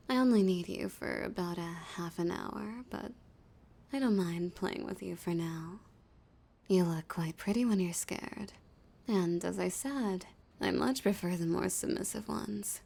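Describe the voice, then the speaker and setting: A woman playing a flirty, dominant villain in an intimate audio roleplay, teasing and menacing a hostage in a breathy, husky voice that slips into whispers. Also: medium pitch, a little mellow, full character voice. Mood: flirty, possessive.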